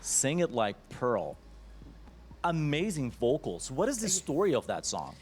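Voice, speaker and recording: A man's voice: mid-range voice, wildly swooping pitch, measured pace, a little mellow, slight background noise. A man co-hosting a TV talent show, asking upbeat, enthusiastic questions. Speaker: TV show co-host. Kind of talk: TV interview hosting.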